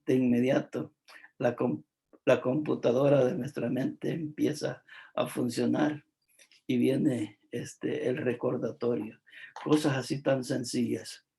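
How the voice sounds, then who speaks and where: A man from El Salvador, preaching a devotional sermon in a slow, emphatic, repetitive way, with frequent filler words. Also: medium pitch, steady tone, gravelly, warm tone.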